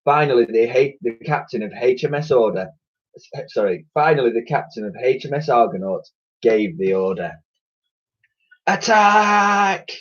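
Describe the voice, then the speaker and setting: A male primary school teacher reading a children's book aloud with animated storytelling. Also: mid-range voice, moderate pace, breathy edge. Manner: lively, animated storytelling with sound effects. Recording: lo-fi recording.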